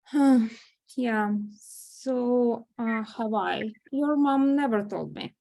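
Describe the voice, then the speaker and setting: A woman reading a caring adult guardian at a table read, gentle and unhurried. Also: on the high side, creaky, full character voice.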